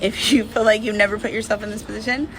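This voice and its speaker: A woman's voice: mid-range voice, steady tone, fast talker, a bit bright, rough recording. A woman talking casually and confessionally to camera as a vlogger, candid and rambling, with lots of "like" and "you know". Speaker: vlogger telling a personal breakup story.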